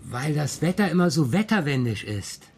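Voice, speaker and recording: A man's voice: a little high, sing-song, normal speed, creaky, darkish tone, husky, heavily acted. Playful, wry radio-play father. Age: 60s. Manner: wry, playful, mock-pompous. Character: whimsical, joking, philosophizing father. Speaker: actor in a children's radio play. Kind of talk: playful radio drama dialogue. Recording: studio radio play with music underneath.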